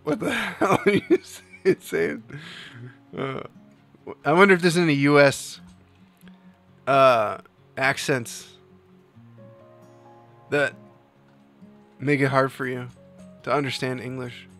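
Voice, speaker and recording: A young man streams from home and chats casually with his viewers in a mid-pitched voice. He rambles, laughs often and reads questions from chat aloud. Soft music plays faintly behind him. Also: expressive, slow, long pauses. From New Jersey. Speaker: livestream host.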